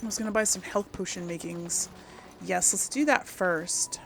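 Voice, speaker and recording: Female gaming host, mid-pitched with noticeable fry, chatting and thinking aloud over casual play, some background noise. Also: normal speed. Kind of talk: casual game playthrough commentary.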